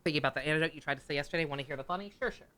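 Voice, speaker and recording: Bright-voiced, wry game streamer. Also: mid-range voice, racing. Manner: sarcastic, wry. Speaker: game livestreamer. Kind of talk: casual livestream commentary.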